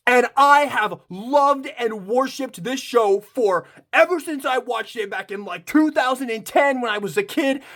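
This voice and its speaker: A man's voice: very high voice, a bit bright. A young man in his twenties reviewing a toy in a casual unboxing, gushing with enthusiasm and swearing freely. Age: 20s.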